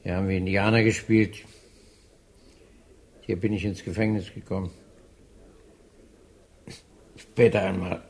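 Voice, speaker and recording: An old man in a radio drama, his voice low and full of creak. He is stubborn and argumentative, recalling the past in a plain, matter-of-fact way. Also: flat delivery, measured pace, pauses often, a little mellow. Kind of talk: German radio drama acting.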